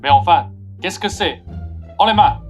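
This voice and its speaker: A woman's voice: on the low side, a little slow, faint music behind, natural voice. A woman playing a bumbling, hesitant male thief in a student radio play. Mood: flustered.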